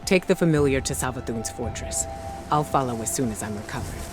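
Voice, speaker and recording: Grave female mentor and commander in a video game. Measured, earnest, authoritative, heavy music behind. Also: rumbling low, animated. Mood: grave briefing. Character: resolute warrior-scholar commander woman, grave and urgent. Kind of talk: dramatic video game briefing. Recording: voice under loud game music.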